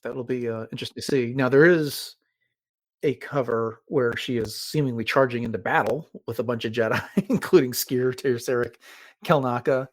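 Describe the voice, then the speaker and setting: A man hosting a podcast in casual conversation, sometimes pausing mid-sentence as he explains things. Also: mid-range voice, no room sound. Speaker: podcast host.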